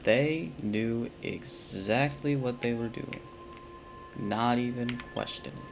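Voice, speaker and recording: A man's voice: mid-range voice, takes it slowly, gravelly. A young man casually reacting to a game as a streamer, slangy and sweary, with muttered asides. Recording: loud game music behind the voice. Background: game music.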